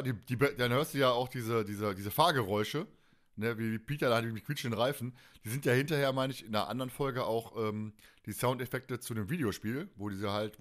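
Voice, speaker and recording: A man's voice: mid-range voice, fairly bright tone. A man chatting casually as a podcast co-host, retelling things in colloquial language.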